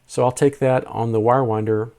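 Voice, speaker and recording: A man's voice: medium pitch, steady tone, normal speed, mellow. A man narrating a casual hobby vlog, explaining things step by step in a plain-spoken way. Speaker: amateur radio video host.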